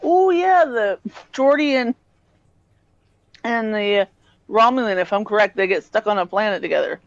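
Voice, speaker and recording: A woman's voice: mid-range voice, animated, moderate pace, dry recording. A woman co-hosting a casual podcast, mostly giving short, easygoing replies. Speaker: podcast co-host. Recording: muffled, lo-fi, dry.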